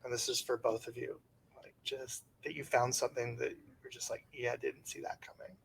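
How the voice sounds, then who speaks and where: A man's voice: mid-range voice, level tone, fairly quick, creaky, a little hiss or hum, poor audio. A man moderating a book panel, chatty and upbeat as he takes questions.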